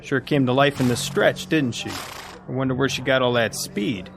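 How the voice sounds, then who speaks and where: Dry, needling racing official. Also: male voice, medium pitch, normal speed, vocal fry, fairly bright tone, soft background music. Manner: dry, sardonic, firm. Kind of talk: radio drama acting.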